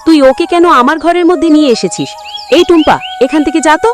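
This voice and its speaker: A woman's voice: sits high, animated, fast talker, over music. A woman voicing a haughty, mean shopkeeper mother in a children's cartoon, snobbish and scornful. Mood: scolding, indignant.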